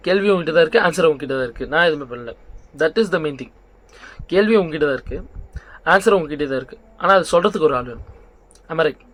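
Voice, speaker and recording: A young man speaks in a slightly high-pitched voice. He talks informally like a vlogger giving motivational advice. He slips English words into his speech and keeps checking in with rhetorical questions. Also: a bit bright. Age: young adult. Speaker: vlog host.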